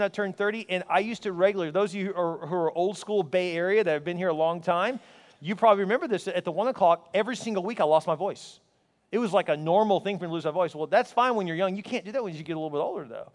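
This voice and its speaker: A pastor in his forties preaching a sermon to a large congregation, energetic and conversational, with quips thrown at the crowd. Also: sits high. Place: large church auditorium. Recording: long hall reverb.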